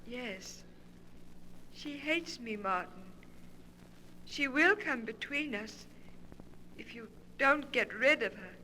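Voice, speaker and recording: A piercingly bright, slow female voice on a hissy vintage radio recording. It is an evil ghost lover, seductive and commanding, drawing out each phrase in melodramatic 1940s horror style. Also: fairly high pitch, lively intonation, heavily acted, poor audio. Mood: yearning, possessive.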